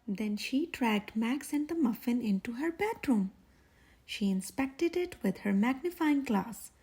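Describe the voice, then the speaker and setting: A woman reading a children's story aloud in an expressive, breathy voice. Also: a little high, a little slow. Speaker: children's story reader, channel host.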